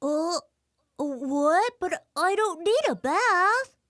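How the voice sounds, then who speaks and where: A woman's voice: very high-pitched, expressive, extremely slow, a bit bright, no room sound, clean studio sound, exaggerated voice. A woman voicing a timid young man in a cartoon storybook, nervous and stammering. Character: timid young man, poor miller's son.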